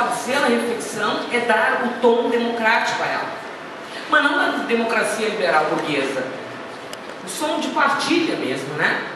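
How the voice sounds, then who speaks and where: Gaúcha woman lecturing in an echoey hall. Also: fairly high pitch. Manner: chatty, anecdotal, with self-deprecating asides. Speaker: guest speaker. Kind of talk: informal lecture. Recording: echoey hall, speaker far from the mic, noisy.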